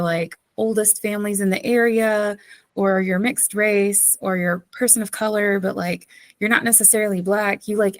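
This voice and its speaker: A woman's voice: medium pitch, dry recording. A woman pastor from southeastern North Carolina, telling stories as a guest in a casual interview with lots of fillers and frequent laughter. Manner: chatty, laughs often, many fillers.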